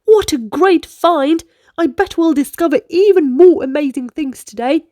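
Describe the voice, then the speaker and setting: A woman voicing an excited, curious young boy in a storybook, eager and full of exclamations. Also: sits high, measured pace, no echo, clean studio sound, pitched above the performer's own voice.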